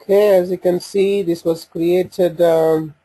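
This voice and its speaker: A man with a slightly husky voice giving an unscripted software walkthrough, hesitant and thinking aloud as he goes. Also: sits high, little pitch movement, slow, low-quality recording. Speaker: software demonstrator. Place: voice-over on a screen recording.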